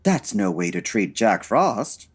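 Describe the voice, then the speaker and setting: A man's voice: fairly low pitch, takes it slowly, breathy edge, heavily acted. A man playing a grumpy, theatrical male diva with a haughty, dramatic delivery in a comedic old-time radio show. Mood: disdainful. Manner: haughty, dramatic, deadpan refusals.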